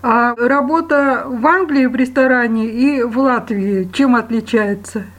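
A woman hosting a radio program, asking direct questions in a brisk manner. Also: fairly high pitch. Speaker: radio host. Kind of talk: radio interview.

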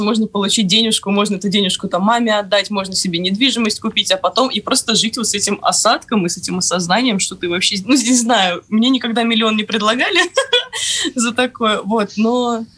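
A woman's voice: medium pitch, lively intonation, a little fast, a bit bright, breathy edge. A young woman on a panel giving her opinions casually, often qualifying what she says. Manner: informal, full of self-qualification. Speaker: panel guest.

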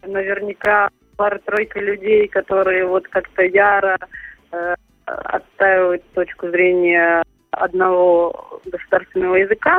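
A woman's voice: medium pitch, dry recording. A woman from Ukraine answering interview questions in casual, hesitant talk full of fillers and restarts. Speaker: interview guest. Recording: tinny phone line.

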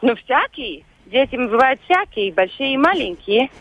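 A woman with a Latvian accent, whose first language is Latvian, speaks as a guest on a radio show by phone. She talks hesitantly and often pauses to search for words. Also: sits high, big pitch swings. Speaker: volunteer guest. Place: phone call into a radio studio. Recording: dull lo-fi phone line.

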